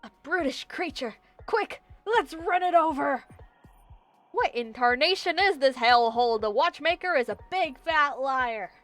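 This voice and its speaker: Girl streaming a game, playful wry comments, slow but really lively pitch, bit of music behind. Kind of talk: casual live gameplay commentary. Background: video game music.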